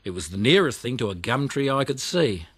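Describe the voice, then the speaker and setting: A motherly female koala from a children's storybook, acted out by a man. She tells her tale dramatically and plaintively, with lively swings of pitch. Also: fairly quick, husky, no echo. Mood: indignant, rueful. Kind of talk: children's audiobook character reading.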